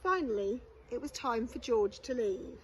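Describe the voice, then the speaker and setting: Woman reading a kids bedtime story, highish voice, slow n careful, bit of a noisy recording. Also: expressive. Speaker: primary school teacher reading a bedtime story. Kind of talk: expressive children's storybook reading.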